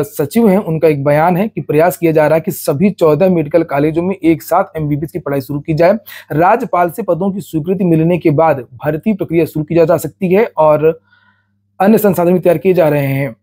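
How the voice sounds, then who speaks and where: A man with a slightly high, husky voice reads news notices aloud. His tone is soft-edged and mellow, and he explains each item plainly and matter-of-factly as a presenter. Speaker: exam and recruitment news presenter.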